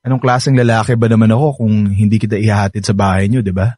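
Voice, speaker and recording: A man's voice: lowish voice, level tone, fast, darkish tone, breathy edge, no room sound, studio quality. A man playing a gallant, bashful young suitor in a Filipino radio romance, with a Filipino accent and an earnest, teasing manner. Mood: caring, earnest. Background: background music.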